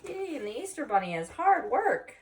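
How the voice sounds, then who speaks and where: A woman with a fairly high voice reads a picture book aloud for children. She tells it expressively and drops in chatty little asides. She sounds a bit away from the microphone. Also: moderate pace, distant mic. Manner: expressive storytelling with chatty asides to young listeners. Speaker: story time reader, teacher.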